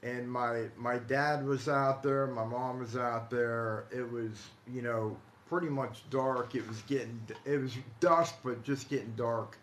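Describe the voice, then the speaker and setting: An American man in his forties talks casually to live viewers in a roomy, echoing space with faint noise behind him. He tells stories in a halting, conversational way, often doubling back on his words. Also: medium pitch, steady tone. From Ohio, United States. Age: 40s. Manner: halting, repeats himself, conversational. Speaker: host of a paranormal storytelling live stream. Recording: roomy echo, light background noise.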